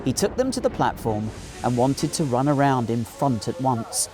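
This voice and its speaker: Very animated British male storyteller. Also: mid-range voice, moderate pace, faint music behind. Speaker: storybook narrator. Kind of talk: animated children's story reading. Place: small indoor recording studio.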